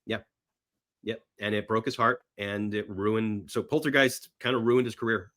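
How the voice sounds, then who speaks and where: A man's voice: fairly low pitch, fairly even intonation, normal speed, a bit bright. Emphatic male film storyteller. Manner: emphatic storyteller, often voicing quotes. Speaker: podcast guest, film industry veteran. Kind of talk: casual anecdotal film-history conversation.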